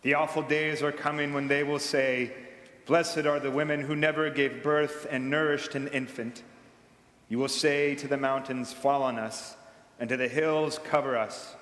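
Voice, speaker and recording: A man reading the part of a calm, sorrowful condemned teacher in slow, solemn, quiet tones during a liturgical reading. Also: medium pitch, close to an everyday voice. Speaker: liturgy reader. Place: large reverberant church. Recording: echoey church from a distance.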